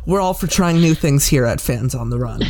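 A relaxed podcast host talks in a loose, casual way. The host is self-deprecating and drops a swear word without fuss. The voice sits at an ordinary pitch. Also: normal speed, faint music behind.

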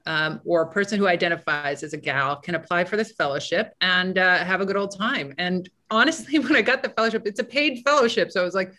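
Bright-voiced chatty woman. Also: lowish voice, a little fast, few pauses. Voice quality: big voice. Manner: chatty, self-deprecating, many restarts. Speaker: guest screenwriter. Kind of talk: casual podcast conversation.

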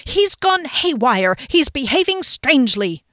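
Woman voicing a high, agitated, fretful man. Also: sing-song, a little slow, a bit bright, no echo, studio quality, exaggerated voice. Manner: tense, urgent, fretful. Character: anxious, agitated man confronting his bandmate friend. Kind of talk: audiobook character dialogue.

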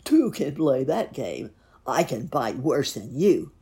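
A woman's voice: rumbling low, very animated, slow pace, dark tone, husky, full character voice. A woman voicing a bad old male wolf in a children's story, growling, sneering and taunting.

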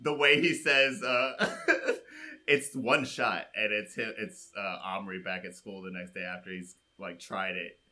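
Bright-voiced man, irreverent film banter. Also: lowish voice, fairly even intonation, a little slow. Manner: irreverent, profane, laughs often, mimics characters. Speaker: podcast film commentator. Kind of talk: casual comedic film discussion.

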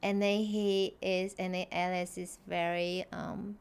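Woman, mandarin is her first language, kinda gravelly but smooth, talks slow and hesitant. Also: fairly low pitch, flat delivery. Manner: hesitant, soft-spoken, laughs often. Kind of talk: casual chat.

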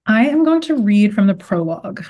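A woman's voice: mid-range voice, very animated, normal speed, highs cut off. A woman author speaking as a guest and reading literary fiction aloud. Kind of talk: interview talk and read-aloud of literary fiction.

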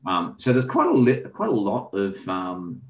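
A man's voice: lowish voice, huge pitch swings, normal speed. An Australian man telling a long travel story in casual chat, with many ums and restarts. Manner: rambling with frequent ums and restarts. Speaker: guest.

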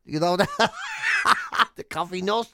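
A man's voice: high voice, huge pitch swings, measured pace, a bit bright, no echo, studio quality. A man with an Irish accent co-hosting a radio show, bantering casually with blunt, sweary, self-mocking humour.

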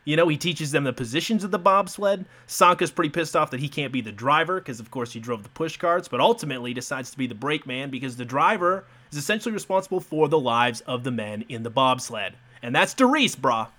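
Young male podcast co-host, bright and slightly high voice, animated and profane movie recap. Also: brisk, hardly any pauses. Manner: animated, swearing, laughs often. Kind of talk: casual movie recap chat.

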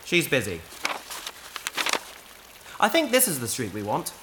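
A woman playing a patient, wry adventurer in a sci-fi audio drama, dryly and gently correcting an AI companion. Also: a bass voice, huge pitch swings, unhurried, background noise, heavily acted.

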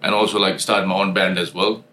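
A man's voice: low-pitched, level tone, a little fast, pushed and bright. A man in his forties from Nepal, a restaurant owner and musician, telling his story in a loose, rambling chat full of fillers. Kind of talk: casual interview chat. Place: London.

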